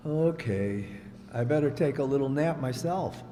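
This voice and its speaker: An actor playing a small, blunt, sour brother-in-law who complains in curt, deadpan lines. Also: male voice, mid-range voice, measured pace, slight background noise, lightly acted. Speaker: amateur radio actor. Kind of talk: old-time radio comedy acting. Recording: live hall reverb with faint ambience.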